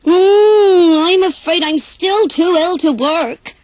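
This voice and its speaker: Woman putting on a shrill, moaning lazy pig. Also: slow pace, a little mellow, no room sound. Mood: feigned misery. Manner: exaggerated, wheedling, moaning. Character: lazy, crafty male pig feigning illness, storybook.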